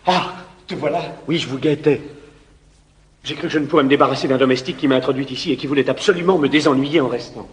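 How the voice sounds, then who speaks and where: A man playing a nervous young gentleman in love in a classic stage comedy, earnest and hesitant. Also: medium pitch, fast, lightly acted. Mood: anxious. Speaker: actor in radio play.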